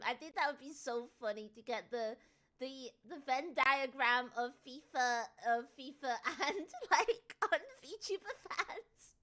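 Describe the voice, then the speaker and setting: A woman with a Northern English accent, chatting casually on a live stream and answering viewers, with sudden excited shouts. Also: high-pitched. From Northern England. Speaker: VTuber streamer.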